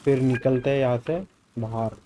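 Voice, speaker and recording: Casting: Indian-accented female game streamer, native Hindi, extremely low mellow voice, casual commentary. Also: fairly even intonation, racing, slight background noise. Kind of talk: casual game commentary, reading dialogue aloud.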